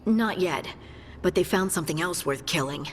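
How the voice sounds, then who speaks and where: An actress playing a brisk, determined ally in a fantasy video game, urgent and direct. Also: female voice, lowish voice, lively intonation, a little hiss or hum, lightly acted. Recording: roomy reverb, faint music behind.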